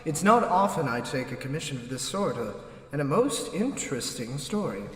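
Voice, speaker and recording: A man playing a courteous, soft-spoken young stage actor in an audio drama, speaking in a measured, slightly hesitant way. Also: a little high, sing-song, roomy sound, long reverb tail. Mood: reassuring, courteous. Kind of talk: naturalistic audio drama dialogue.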